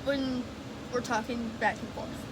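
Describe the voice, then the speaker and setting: A woman's voice: on the high side, steady tone, measured pace. A young woman vlogging casually at home, chatty and rambling, with a stuffed-up nose. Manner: chatty, rambling, self-correcting. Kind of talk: casual vlog chat. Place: bedroom at home with AC and fan running. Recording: loud fan and AC noise behind.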